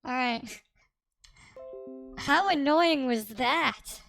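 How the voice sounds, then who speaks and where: A man live streaming casually, his voice run through a voice changer that shifts it to deep or high pitch. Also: lively intonation, extremely slow, vocal fry, pushed and bright, soft background music. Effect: voice changer, jumping between deep and high pitch.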